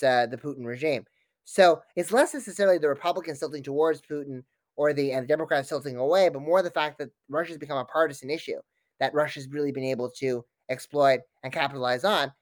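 A man's voice: mid-range voice, no echo. A man speaking as an expert interview guest, giving analytical commentary and often tripping over and correcting his words.

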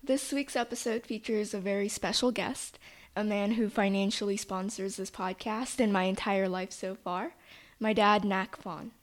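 A woman's voice: mid-range voice, steady tone, moderate pace. A young woman hosting a casual podcast, upbeat and friendly as she interviews her father on a shared microphone. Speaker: podcast host.

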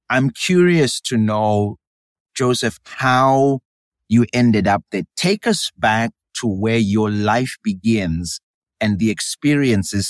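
A man with a Zimbabwean accent hosting an interview podcast, speaking in an earnest, measured and emphatic way. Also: lowish voice, no echo, studio quality. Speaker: podcast host and democracy activist.

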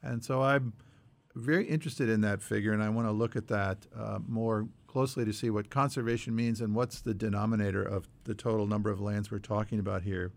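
Low, creaky-voiced man co-hosting a podcast. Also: fairly even intonation. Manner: measured, explanatory, often hedging and qualifying. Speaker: podcast co-host. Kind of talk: conversational news discussion working through numbered points.

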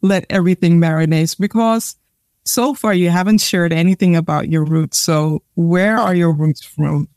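A woman's voice: fairly low pitch, normal speed, a little mellow, no room sound, clean studio sound. A woman hosting a podcast interview, asking her guest encouraging questions. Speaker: podcast host.

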